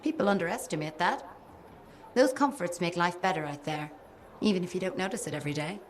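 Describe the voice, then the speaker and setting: Woman playing a low-voiced caring game mechanic. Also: brisk, natural voice. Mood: fond, wry. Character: caring, practical female mechanic, devoted mother. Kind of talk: video game dialogue acting.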